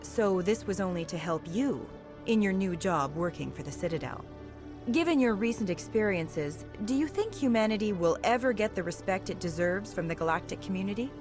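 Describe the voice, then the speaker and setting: An actress playing a probing, confrontational news reporter in a video game, polished and insinuating. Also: female voice, medium pitch, animated, normal speed, over music, lightly acted. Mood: probing, skeptical.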